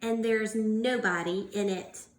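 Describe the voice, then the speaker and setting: A woman voicing a contented, eager male bird in a children's storybook, speaking slowly and expressively. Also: mid-range voice, fairly even intonation, echoey room, slight background noise, lightly acted. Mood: hopeful, pleased. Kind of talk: storybook read-aloud for young children.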